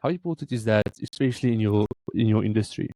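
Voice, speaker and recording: A man with an Afrikaans South African accent, interviewing a guest with halting questions full of fillers. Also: lowish voice, dark tone, no echo, poor audio. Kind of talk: interview conversation.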